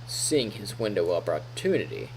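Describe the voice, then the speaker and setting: A man, a streamer reading a visual novel aloud in an expressive storytelling style with casual asides. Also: low voice, normal speed, vocal fry, a little hiss or hum, low-quality recording.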